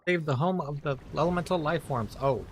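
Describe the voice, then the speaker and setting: A man's voice: a little high, fairly quick, faint music behind. A man giving casual gameplay commentary as a streamer, with brief, understated reactions. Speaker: game streamer.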